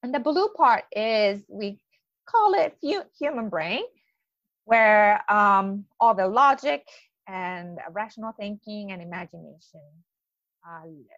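A woman's voice: mid-range voice, expressive, takes it slowly, a bit bright, dry recording, dull audio. A woman in her forties from Japan with a Japanese accent, giving a coaching webinar with many hesitations and eager asides. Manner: hesitant, many ums, enthusiastic. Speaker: life coach presenter. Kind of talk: webinar presentation.

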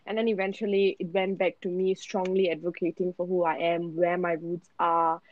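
A woman's voice: fairly low pitch, flat delivery, normal speed, dry recording, dull audio. A young woman from Singapore with a Singaporean Indian accent, a guest talking candidly in a casual podcast chat, with lots of fillers and restarts.

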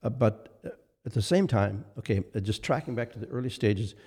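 An older man, a minister, recalling ministry history in a casual interview, speaking haltingly and repeating phrases for weight. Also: medium pitch, a little mellow, breathy edge. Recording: long reverberant hall echo.

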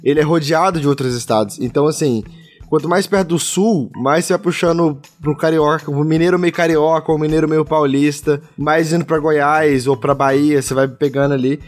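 A man's voice: on the high side, fairly even intonation, brisk. A man with a mineiro accent from Belo Horizonte, chatting casually on a podcast and explaining things in slangy speech. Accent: Belo Horizonte mineiro Brazilian. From Minas Gerais, Brazil. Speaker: podcast panelist. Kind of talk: casual group chat. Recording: music under the voice.

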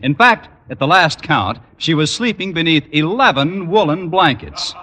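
A polished man reads scripted introductions as a mid-century radio announcer. His voice is fairly high and swings with lively, salesmanlike emphasis. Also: moderate pace. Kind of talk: 1940s-50s radio announcing, scripted narration.

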